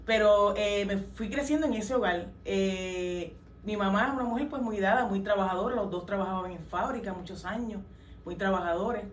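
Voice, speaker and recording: A young woman with a Puerto Rican accent tells her story as an interview guest, earnest and pausing often on fillers. She sits back from the microphone in a reverberant room. A faint ambient hiss sits under her voice. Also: mid-range voice. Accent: Puerto Rican Spanish. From Puerto Rico. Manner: earnest, many hesitations and fillers. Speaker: guest, worship singer. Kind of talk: testimony in an interview. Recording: roomy, distant mic, faint ambience.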